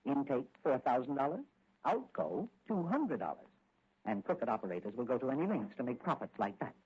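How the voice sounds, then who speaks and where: An actor playing a learned older doctor and advisor in a 1940s radio drama, explaining things in a deliberate, pedantic way with small hesitations. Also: male voice, mid-range voice, big pitch swings, husky. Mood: emphatic, precise. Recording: tinny, scratchy 1940s radio recording.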